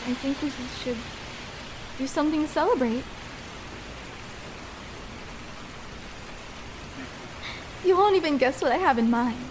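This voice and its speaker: A woman's voice: high-pitched, lively intonation, brisk, noisy background. A young woman playing a shy, vulnerable escort in an intimate ASMR roleplay, speaking hesitantly and tenderly with small stammers. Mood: shyly playful.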